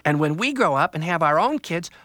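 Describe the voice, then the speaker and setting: A man voicing an eager little child, excited and pleading. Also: a little high, fairly quick, no room sound, voice pushed up.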